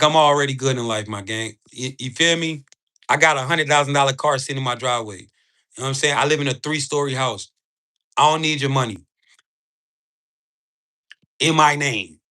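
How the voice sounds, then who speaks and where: Bright, forceful man pitching on a livestream. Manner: emphatic, slangy, peppered with tag words like "all right". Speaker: live streamer selling spiritual ebooks. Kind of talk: informal livestream sales pitch to chat.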